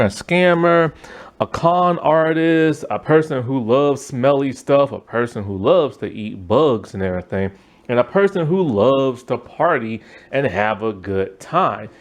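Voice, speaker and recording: A man casually reviewing a cartoon, rambling with lots of "like" and "you know" and sounding enthusiastic. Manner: enthusiastic, lively pitch swings.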